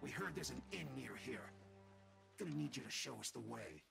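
A man's voice: mid-range voice, expressive, normal speed, vocal fry, heavily acted. A man playing a threatening big soldier henchman in a video game, curt and bullying. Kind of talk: video game character acting. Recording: game trailer playback under loud music.

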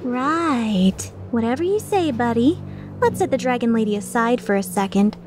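Girl voicing playful evil merc chick for a game, high swoopy voice, taunting and sarcastic. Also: lively intonation, moderate pace, a little mellow, a little hiss or hum, close to an everyday voice. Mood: mocking, coaxing. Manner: teasing, mock-sincere, sardonic. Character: playful, taunting, mischievous young female mercenary villain. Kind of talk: fan dub of game dialogue.

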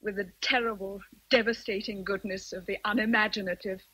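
An actress with an English accent playing a passionate, independent young Victorian governess in a radio drama, earnest and emotional. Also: female voice, medium pitch, steady tone, a little fast, sharp tone, slightly rough, no room sound, rough recording. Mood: firm, pained. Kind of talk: BBC radio drama acting.

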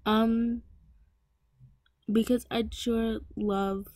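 A woman's voice: fairly high pitch, little pitch movement, drawn-out words, darkish tone, no echo. A teenage girl talking casually about packing her lunch in a home kitchen, rambling with lots of fillers and restarts. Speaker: vlogger. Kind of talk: casual voice-over chat.

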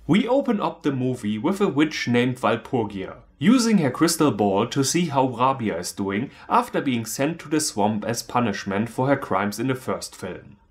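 A man's voice: medium pitch, big pitch swings, moderate pace, hardly any pauses. A man, narrating a scripted comedic movie recap in a sarcastic, irreverent manner. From Germany. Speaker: movie reviewer, video host.

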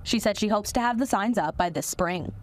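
A woman's voice: mid-range voice, fairly quick, noisy background. Young woman reporter, mid-range voice, punchy studio news read.

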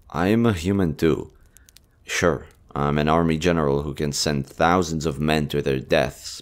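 A man acting a kind, earnest young army general in a romantic audio roleplay, hushed, halting and emotive. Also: a bass voice, full character voice.

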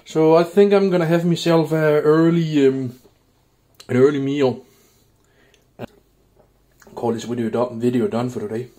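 A man casually narrating a home cooking vlog in a laid-back, somewhat halting way. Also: fairly high pitch, steady tone, dark tone. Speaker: home cook, video host. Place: indoors in a home kitchen.

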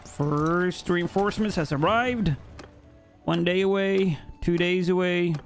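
Young male streamer from Norway, rambling and jokey, a touch high. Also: steady tone, slow pace, soft background music. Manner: chatty, reads chat aloud, jokey. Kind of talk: live-stream gameplay chat. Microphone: headset mic.

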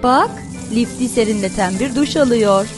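A woman's voice: fairly high pitch, normal speed. A woman narrating a cartoon for toddlers in a sing-song, encouraging way, often asking questions. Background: light music behind the voice.

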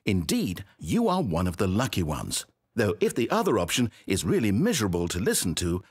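An actor playing a smug, mocking game narrator who teases the player in dry, sarcastic tones. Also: male voice, medium pitch, slightly rough. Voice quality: dry, teasing delivery with sly sarcasm.

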